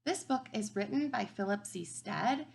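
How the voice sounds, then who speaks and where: A woman teaching kindergarten through a read-aloud, thinking aloud and posing simple questions to children in an expressive, emphatic way. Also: mid-range voice, normal speed, vocal fry, slight background noise. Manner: expressive, emphatic storybook delivery.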